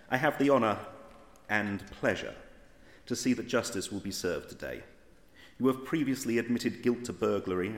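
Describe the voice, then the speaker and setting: Pompous low-voiced judge played by a man, echoing room. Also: close to an everyday voice. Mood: smug, stern. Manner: formal, deliberate, officious. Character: stern, pompous male judge in a futuristic court. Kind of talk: audio drama acting. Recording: roomy echoing sound.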